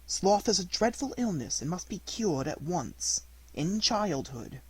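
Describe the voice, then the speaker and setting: A reader doing a soft, stern motherly fairy. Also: medium pitch, unhurried, slightly rough, full character voice, low-quality recording. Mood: admonishing. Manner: soft, calm, admonishing. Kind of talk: audiobook character reading.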